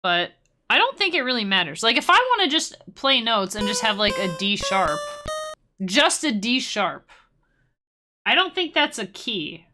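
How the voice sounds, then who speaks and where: A woman's voice: on the high side, sing-song, unhurried. A young woman casually teaching music-making on a live stream, rambling and self-deprecating with playful asides.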